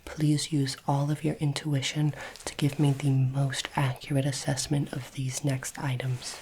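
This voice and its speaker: Casting: woman playing polite alien examiner of human items, low soft whisper, formal and curious, ASMR roleplay, faint background noise. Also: flat delivery. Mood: formal, approving. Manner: slow, deliberate, formal phrasing.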